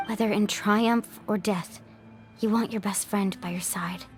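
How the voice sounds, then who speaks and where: A woman's voice: mid-range voice, steady tone, unhurried, faint music behind, lightly acted. A woman voicing a composed, focused young female adventurer in a video game, matter-of-fact in manner. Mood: reflective.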